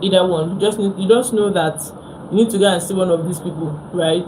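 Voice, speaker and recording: A woman's voice: on the low side, fast, noisy background. A young Nigerian woman with a Nigerian accent, hosting a casual phone live stream, chatting with viewers and repeating herself.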